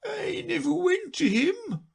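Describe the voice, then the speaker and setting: A man voicing the Mock Turtle, a sobbing, self-pitying storybook creature who wails his words slowly. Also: sing-song, creaky, exaggerated voice. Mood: mournful. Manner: slow tearful wail, high and quavering.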